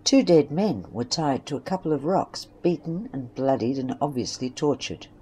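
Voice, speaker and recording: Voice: medium pitch, animated, normal speed, warm tone, a little hiss or hum. A narrator reading scripted third-person story passages for an amateur western audio drama.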